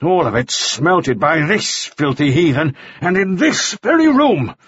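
Furious, bullying mining boss for an audiobook, acted by a man; snarling, sarcastic, husky, wide-ranging delivery. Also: on the high side, very animated, measured pace, a bit bright, dry recording, highs cut off, voice pushed up, full character voice. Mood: furious, desperate. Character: furious, bullying gold-rush magnate, menacing man with pistol. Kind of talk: audiobook character voice.

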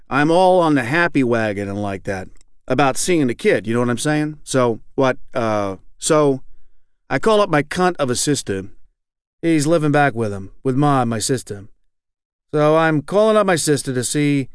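A man's voice: mid-range voice, smooth, no room sound, studio quality, close to an everyday voice. A man reading aloud as an angry, profane middle-aged man in recovery, rambling and repeating himself. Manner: rambling, profane, keeps asking "you know what I'm saying". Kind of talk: reading aloud a fictional monologue.

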